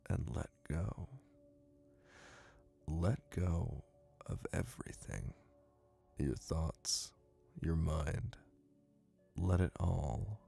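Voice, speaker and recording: A man acting as a confident, dominant young college hypnotist in an ASMR roleplay, speaking softly with soothing command. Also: very deep voice, creaky, slightly rough, full character voice. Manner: soft, commanding, soothing, deliberate. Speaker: voice actor.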